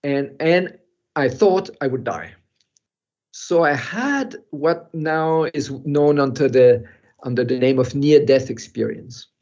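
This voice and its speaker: Older man telling his story over a muffled call. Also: on the high side. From Europe. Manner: halting, reflective, with soft laughs and restarts. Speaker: guest speaker. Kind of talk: personal storytelling in an online talk. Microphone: video call. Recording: muffled video call audio.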